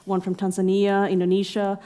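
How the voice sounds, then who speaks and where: A woman's voice: medium pitch, monotone, fairly quick, warm tone. A young woman from Norway speaking in a casual podcast conversation, reflective and thoughtful, with frequent fillers and laughter. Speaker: exhibiting artist guest.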